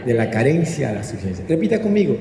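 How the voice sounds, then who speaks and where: A man's voice: medium pitch, big pitch swings, quick pace, mellow. Colombian male preacher in an echoey hall. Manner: didactic, prompting listeners to repeat and answer. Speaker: pastor preaching. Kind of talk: sermon with rhetorical questions and call-and-response. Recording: echoey hall with long reverb, background noise.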